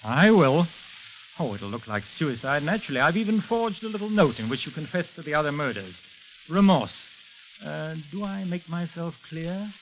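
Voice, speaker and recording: A muffled, hissy old radio recording carries a suave villain. His voice sits a little high. He is mocking and condescending, a polished murderer gloating over his victim in forties thriller style. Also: big pitch swings, moderate pace. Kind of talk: 1940s radio thriller acting.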